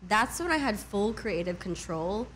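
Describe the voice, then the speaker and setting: A young woman in her twenties, a singer talking casually as an interview guest, relaxed and chatty with many fillers. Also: mid-range voice, normal speed, slight background noise, rough recording. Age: 20s. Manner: relaxed, chatty, lots of "like" and "mm-hmm".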